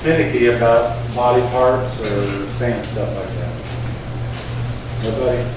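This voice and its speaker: A soft-spoken man, teaching a class as a sheriff's crime investigator, halting, with many fillers. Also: medium pitch, steady tone. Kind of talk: classroom talk with slides. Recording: echoey room, mic far off, noisy.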